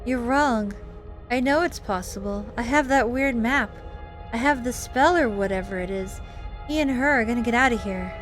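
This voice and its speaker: A woman's voice: fairly high pitch, normal speed, natural voice. Woman reading as a defiant, insistent young hero. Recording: music behind the voice.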